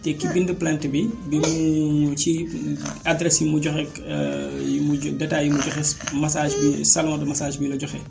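Flat, gravelly man asking questions. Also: fairly high pitch, measured pace, hardly any pauses, music in the background. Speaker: interviewer. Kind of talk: field interview questions.

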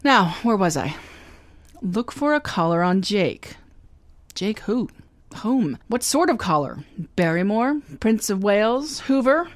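A woman's voice: medium pitch, big pitch swings, measured pace, dry recording, soft background music. A woman playing a wisecracking female private detective who narrates in wry, snappy hardboiled style, in a radio drama. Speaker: narrator and lead.

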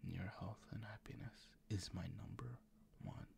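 A man performing improvised boyfriend ASMR in a breathy, gravelly whisper, tender and soothing, close to the microphone. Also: lowish voice, slight background noise. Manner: tender, slow, soothing, gently coaxing.

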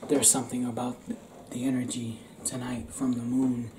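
A young man performing an intimate ASMR roleplay as a restless, tender werewolf, speaking in a hushed, halting, often whispered way. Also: medium pitch, little pitch movement. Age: young adult. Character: young male werewolf confiding in his partner, restless, tender. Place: small indoor room. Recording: roomy echo, clear background noise.

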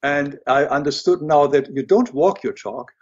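Older man, german accent, husky, dull lo-fi sound, halting with fillers. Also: fairly high pitch. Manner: hesitant, many fillers and restarts. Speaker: guest, illustrator and writer. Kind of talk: interview conversation.

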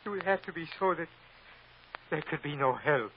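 An actor playing a pious, anxious, stubborn father with halting, formal speech in an old-time radio drama. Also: fairly high pitch, expressive. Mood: anguished, wavering. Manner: halting, repeats himself, formal wording. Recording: dull, noisy old radio recording.

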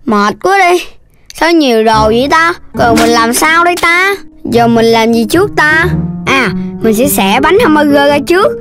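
A woman voicing a boastful, big-bellied young animal in a children's cartoon, with a childlike, whiny voice. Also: expressive. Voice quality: high, childlike. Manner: boastful, whiny when tired, drawn-out. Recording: music playing behind the voice.

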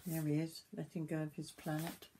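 A woman in her sixties reading a picture book aloud to young children like a grandmother, expressively, with drawn-out words and little asides to the listener. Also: low-pitched, completely flat delivery, heavy background noise. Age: 60s. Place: small wooden summerhouse.

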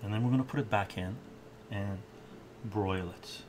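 A man's voice: lowish voice, normal speed, noisy background. An older man live-streaming his cooking from a home kitchen, chatting and reading comments as he works. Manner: relaxed, chatty, reads chat aloud while cooking. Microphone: camera at distance. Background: clattering dishes and cutlery.

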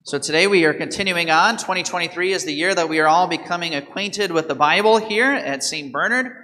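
Youngish priest, thirties, bright kinda high voice, reading the gospel and quizzing the kids at mass in a big church. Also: male voice, a little fast. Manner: asks the congregation questions and quizzes children. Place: large church sanctuary. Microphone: microphone at the altar, far camera.

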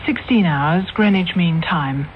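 A woman's voice: on the low side, slow pace. British woman continuity announcer, scripted and formal, narrow-band radio sound with faint noise. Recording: tinny, noisy old radio broadcast.

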